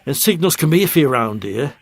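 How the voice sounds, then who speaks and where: An actor playing a tired, edgy local radio traffic presenter in an audio drama, plain-spoken and colloquial. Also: male voice, a little high, big pitch swings, quick pace, breathy edge, dry recording, heavily acted. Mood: weary, impatient.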